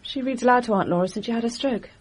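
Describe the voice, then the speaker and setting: An actress playing a composed young upper-class Englishwoman in a radio drama, measured and wry. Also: a little high, close to an everyday voice. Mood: composed, dry. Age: young adult.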